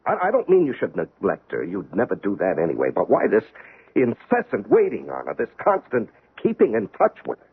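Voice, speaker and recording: A man playing an exasperated, protective middle-aged husband in a 1970s radio drama, emphatic and pleading, with a raspy voice. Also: fairly low pitch, wildly swooping pitch, fairly quick, narrow, tinny audio, full character voice.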